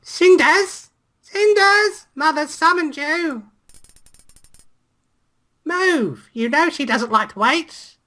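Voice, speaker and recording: A woman voicing a scornful, sharp-tongued young stepsister in a fairy-tale visual novel. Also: high-pitched, slow pace, pauses often, voice pushed up, exaggerated voice. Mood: impatient shouting. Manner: haughty, cutting. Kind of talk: visual novel read-aloud.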